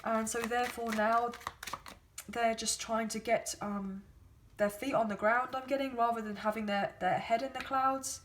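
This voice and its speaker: Woman, sore-throated voice, rambling tarot reading, slightly high, faint background hiss. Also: fairly even intonation, moderate pace, fairly bright tone. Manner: chatty, rambling, hedging with many fillers. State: sore throat, losing her voice. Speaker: tarot card reader. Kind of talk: casual spoken tarot reading.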